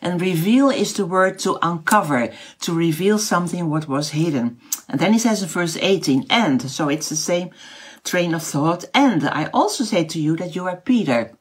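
A woman's voice: fairly low pitch, lively intonation, moderate pace, husky. A middle-aged Dutch woman, speaking English with a Dutch accent, giving a casual online Bible teaching. She often searches for words, with fillers and restarts. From Netherlands. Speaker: house church teacher.

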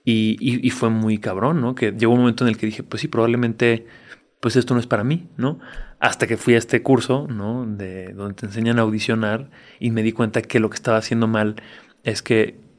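A man's voice: on the low side, moderate pace. Laid-back Mexican man, frank and slangy. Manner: relaxed, frank, slangy, with frequent "¿no?" tags. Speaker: actor and guest. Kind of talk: casual interview chat.

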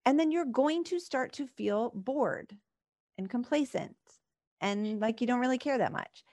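Brief: woman life coach, higher pitch, probing and reflective, chatty with "like" fillers. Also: unhurried, darkish tone. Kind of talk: live coaching session.

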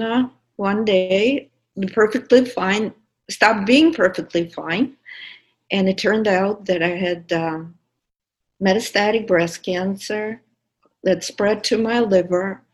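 A woman in her fifties with a Russian accent, wryly telling her personal story on a podcast with many fillers. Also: mid-range voice. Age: 50s. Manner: candid, wry, many fillers. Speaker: podcast producer and guest.

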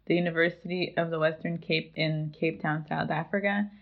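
Gravelly woman on a tinny line. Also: sits low, steady tone, normal speed. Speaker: podcast host. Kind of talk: podcast interviewing. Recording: tinny phone-like sound.

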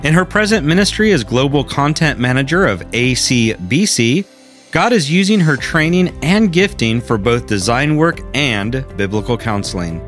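A man reading a scripted podcast intro in a measured announcer's manner. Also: medium pitch, lively intonation. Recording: soft guitar music behind.

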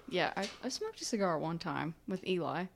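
A woman's voice: lowish voice, very animated, normal speed, vocal fry, a little hiss or hum. A young woman co-hosting a casual podcast chat, laughing often as she talks. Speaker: podcast co-host.

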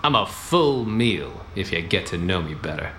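An actor playing a cocky, bossy 1920s private detective in a noir radio comedy, with clipped wisecracking delivery. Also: male voice, low voice, sing-song, moderate pace, pushed and bright, a little hiss or hum, exaggerated voice. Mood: cocky, scolding.

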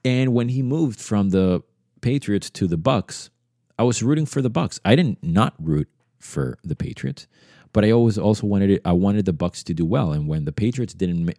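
Man, 30s, from Venezuela, very low voice, dry close recording, solo podcast host, reflective, rambling with restarts. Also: animated, normal speed, mellow, no room sound. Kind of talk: casual solo podcast monologue.

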